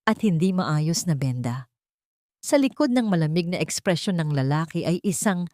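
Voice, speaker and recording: A woman narrating a scripted dramatic story in a solemn, deliberate, melodramatic manner. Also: lowish voice, darkish tone, no echo, clean studio sound.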